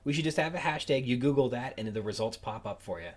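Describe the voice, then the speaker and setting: A man with a husky voice hosts a podcast in a casual, chatty style. His phrasing is halting and conversational, and his pitch sits in an ordinary male range. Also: animated. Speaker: podcast host. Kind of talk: casual interview chat.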